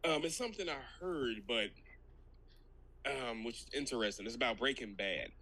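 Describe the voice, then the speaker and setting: A man's voice: medium pitch, animated, moderate pace, sharp tone, poor audio. A man hosting a podcast, steering the chat, laughing easily and hesitating with many ums.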